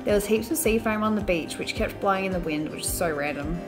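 A woman's voice: mid-range voice, fast. A young Australian woman reading a scripted travel voice-over. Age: young adult. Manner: even, read narration. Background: music.

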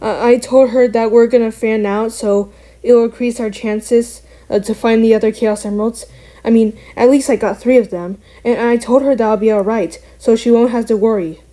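A woman's voice: fairly high pitch, steady tone, moderate pace, mellow, natural voice, low-quality recording. A woman voicing an earnest, wary young bat heroine in an amateur cartoon, talking in a casual, hesitant way. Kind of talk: amateur animated voice acting.

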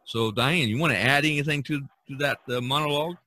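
A man in his early sixties hosting a casual, rambling podcast chat, halting and often repeating words. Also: on the high side, bright, forceful tone. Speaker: podcast host.

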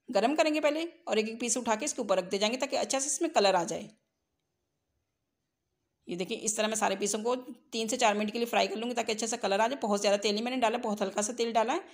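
A woman narrates a cooking tutorial as a home cook, explaining step by step in an instructional way. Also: fairly high pitch. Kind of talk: recipe tutorial voice-over.

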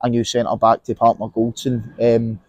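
Young Scottish man, podcast co-host, mid pitch, casual football chat, lapel mic, dry. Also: moderate pace, dry recording. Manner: relaxed, opinionated, many fillers and restarts. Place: outdoors in an empty stadium stand.

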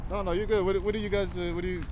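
A man's voice: high voice, flat delivery, racing, a little mellow. A man selling drinks on a city street, talking in a laid-back, friendly way outdoors. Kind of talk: casual street chat.

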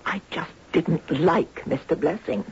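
An actress playing a genteel, anxious old lady in a 1970s radio drama, speaking politely and hesitantly in a breathy, husky voice. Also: deep voice, a little slow, a little hiss or hum. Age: older. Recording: dull 1970s radio recording.

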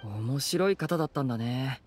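An actor playing a gentle, polite, lightly wry young man in a video game. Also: mid-range voice, lively intonation, moderate pace, soft background music, close to an everyday voice. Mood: wry, admiring. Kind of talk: Japanese game voice acting.

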